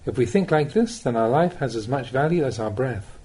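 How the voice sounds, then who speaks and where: A man reading a spiritual teaching aloud as an audiobook, with measured, deliberate phrasing and pauses. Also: mid-range voice, animated, dark tone, slightly rough. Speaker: Buddhist monk reading recorded teachings. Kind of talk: audiobook reading of a Dhamma talk.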